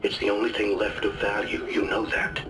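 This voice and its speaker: An actor playing a stern, protective and grimly determined station survivor in a video game. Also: male voice, on the low side, completely flat delivery, normal speed, very noisy, natural voice. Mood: insistent. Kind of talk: dramatic game voice acting.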